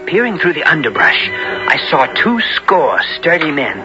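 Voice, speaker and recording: Earnest, high-voiced young squire. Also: male voice, sing-song, a little slow, music in the background. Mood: narrating. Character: earnest, hot-headed young squire hero. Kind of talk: 1970s radio drama acting. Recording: muffled, hissy old radio broadcast.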